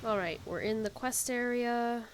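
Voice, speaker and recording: A woman's voice: fairly high pitch, measured pace, noisy background. A woman gamer doing casual gameplay commentary, informal and offhand, half talking to herself. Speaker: gamer reacting to a story quest.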